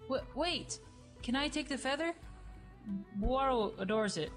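A woman playing a sarcastic, brash young female creature in an audio drama, colloquial and expressive. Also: sits high, a little slow, soft background music. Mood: grumbling. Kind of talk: dramatized webcomic audio play.

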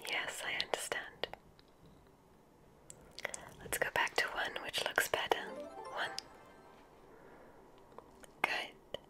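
Woman doing asmr witch exam roleplay, all breathy whisper, really slow and soothing. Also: over music. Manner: soft, slow, gently reassuring. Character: kindly witch examiner at a magic school. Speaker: ASMR roleplay performer playing a witch medical examiner.

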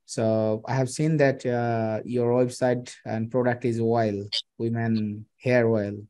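Bangladeshi man pitching over a headset. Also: little pitch movement, mellow. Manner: halting, many fillers and restarts. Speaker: freelance digital marketer pitching to a client. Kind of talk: video call sales pitch. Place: small room indoors. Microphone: headset on a video call.

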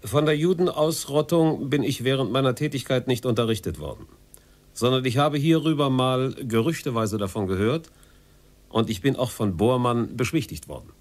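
An actor playing a formal, evasive former Nazi state secretary under interrogation in a radio play. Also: male voice, mid-range voice, expressive, moderate pace, natural voice.

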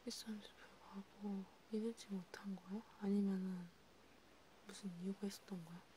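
A woman whispering softly in a halting, conversational way, as in an ASMR counseling roleplay. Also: medium pitch, flat delivery. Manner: hushed, slow, halting, conversational. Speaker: ASMR creator playing a counselor. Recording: noticeable background hiss.

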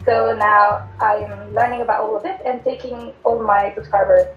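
A young woman from France, chatting relaxed and easygoing as a guest on a video call. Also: medium pitch, moderate pace, soft background music. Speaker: guest vlogger. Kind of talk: casual video call chat. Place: home room. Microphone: laptop webcam mic on a video call. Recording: dull echoey video call audio.

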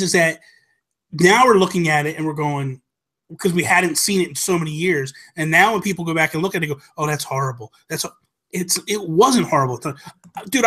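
A man's voice: high voice, a bit bright. A man in his thirties chatting casually as a podcast host, emphatic and opinionated, on a close desk microphone. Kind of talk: animated casual chat about games and films. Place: small home room, indoors. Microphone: close desk microphone with headphones.

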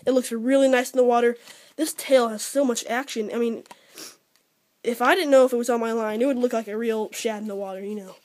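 A teenage boy with an American accent talking casually and a little haltingly as he shows things to a handheld camera at home. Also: very high-pitched, steady tone. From Georgia, United States. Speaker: fishing vlogger. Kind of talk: casual unscripted product showcase. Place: room at home. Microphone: handheld camera at arm's length.

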